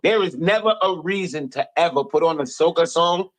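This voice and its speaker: A man with a fairly high voice is being interviewed in a radio studio. He talks in a casual, slangy way, animated and opinionated, often repeating a phrase to drive it home. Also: normal speed. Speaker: interview guest. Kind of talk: casual chat, storytelling.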